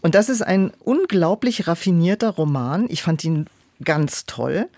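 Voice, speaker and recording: A woman's voice: fairly low pitch, animated, a little slow, a little mellow, no room sound. A woman speaking in a reflective interview as an audiobook director, explaining things and illustrating them with quoted asides. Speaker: audiobook director and producer, podcast guest.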